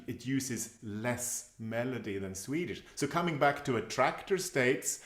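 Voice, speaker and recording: A man speaking as a language teacher in a question-and-answer session, thoughtful and hesitant in his phrasing. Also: mid-range voice. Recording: roomy, echoey sound.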